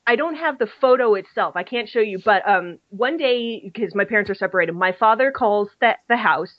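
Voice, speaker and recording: A woman's voice: mid-range voice, expressive, normal speed, no room sound. Casting: young adult woman, rambling podcast co-host, dull lo-fi dry recording. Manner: rambling, self-deprecating, chatty with restarts. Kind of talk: casual chat.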